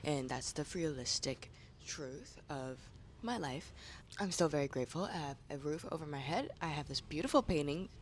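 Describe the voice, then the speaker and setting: Woman vlogger, slightly raspy, lower register, casual and confessional. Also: lively intonation, normal speed, a little hiss or hum. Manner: chatty, candid, slangy. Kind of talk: casual chat to camera. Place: room indoors.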